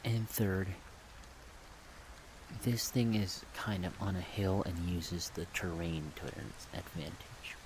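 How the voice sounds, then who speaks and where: Slow, low-pitched soft-spoken ASMR man. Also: noisy background. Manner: halting, thinking aloud in short phrases. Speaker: ASMR video creator.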